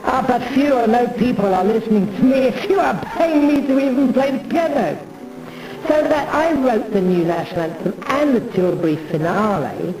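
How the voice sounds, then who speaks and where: Echoey, distant, theatrical rambling speaker with music. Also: mid-range voice. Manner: rambling, mannered, addresses listener as "pal". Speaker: amateur pianist and performer. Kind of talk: rambling theatrical monologue to camera. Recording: roomy echo, distant mic, music behind.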